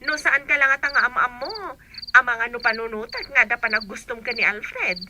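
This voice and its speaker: A woman's voice: a little high, brisk, background noise, natural voice. An actress with an Ilocano accent playing a teasing, gossipy young woman in a radio drama.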